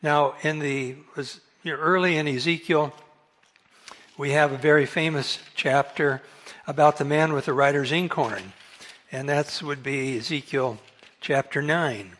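A soft-spoken man giving a relaxed Bible study talk over a microphone in a meeting hall, correcting himself and chuckling now and then. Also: a little high, a little slow. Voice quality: soft-voiced. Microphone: amplified microphone.

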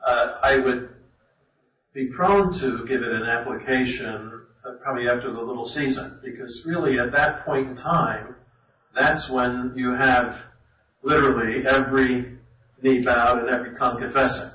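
Panel guy answering, lots of uhs and restarts, bright voice, far off mic, dull sound. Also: medium pitch, fairly even intonation, normal speed. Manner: hesitant, many fillers and restarts. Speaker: panelist at a Bible study. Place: meeting hall. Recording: roomy, distant, dull sound.

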